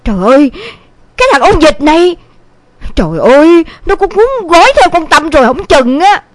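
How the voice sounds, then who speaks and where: A woman's voice: sits high, lively intonation, measured pace, no echo. Casting: fiery middle-aged village mother played by a woman, southern Vietnamese accent, swooping delivery, scolding and exasperated, dry audio-story recording. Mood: furious, exasperated. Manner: scolding, exclamatory, dramatic. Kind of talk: dramatised audio story acting.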